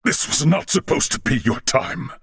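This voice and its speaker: A man's voice: medium pitch, expressive, normal speed, fairly bright tone. An actor playing a formal, disciplined, proud and loyal swordsman in a video game, speaking in theatrical, archaic phrasing. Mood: grave, vengeful.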